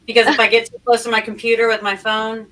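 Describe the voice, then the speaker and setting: A woman's voice: fairly high pitch, level tone, fast, a bit bright. A woman chatting casually as a guest on an online livestream panel, heard from a slightly distant mic. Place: online group video call. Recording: distant mic with faint background noise.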